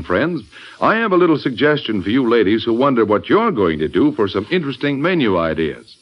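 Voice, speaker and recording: Male American radio announcer: animated, mid-pitched, folksy sponsor spot, slightly muffled old broadcast. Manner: friendly, folksy sales pitch. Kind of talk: scripted 1940s radio commercial. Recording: dull lo-fi old radio recording.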